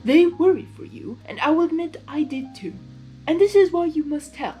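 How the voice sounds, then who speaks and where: Female reader as caring female zebra, wise, formal, rhyming, measured delivery, higher voice, storybook character. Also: expressive, warm tone, faint music behind. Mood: concerned.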